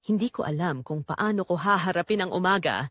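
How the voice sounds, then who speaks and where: A woman's voice: lowish voice, animated, brisk, fairly bright tone, no echo, clean studio sound. A woman with a Filipino accent narrating a scripted first-person dramatic story.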